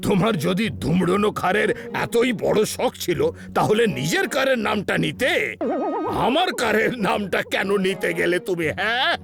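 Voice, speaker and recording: Creaky, indignant cartoon fellow. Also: male voice, sits high, very animated, normal speed, music in the background, exaggerated voice. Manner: exclamatory, repeats words, scolding. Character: grumbling, excitable cartoon man, indignant and comically exasperated. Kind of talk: exaggerated cartoon dubbing.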